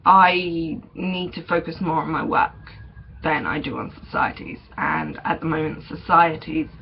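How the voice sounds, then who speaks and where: Young man, vlogger, unhurried and hesitant, gravelly edge, dull lo-fi recording. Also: on the high side, steady tone. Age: young adult. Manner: chatty, hesitant, many ums and small laughs. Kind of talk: casual vlog update. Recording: dull lo-fi recording with faint noise.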